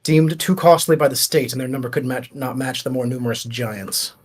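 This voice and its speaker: A man's voice: mid-range voice, brisk, slightly rough. A man playing a tabletop game, talking casually and explaining how the rules work.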